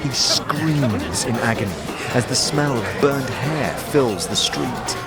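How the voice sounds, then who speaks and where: A man narrating a fantasy audio drama in the second person, theatrical and deliberate, in short measured clauses. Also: mid-range voice, expressive, loud music behind the voice, a loud crowd. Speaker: narrator and lead player of an audio series.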